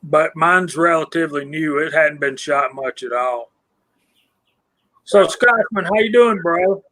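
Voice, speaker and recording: A man in his fifties co-hosting a casual live stream chat, relaxed and self-deprecating as he asks guests questions. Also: fairly high pitch, measured pace, rough recording. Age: 50s.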